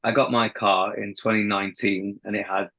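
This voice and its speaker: A man's voice: fairly low pitch, level tone, moderate pace, sharp tone, lo-fi sound. A young man with a faint Sheffield accent, a band's lead singer chatting as a guest in a casual podcast interview, rambling and laughing often. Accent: Sheffield, softened. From England.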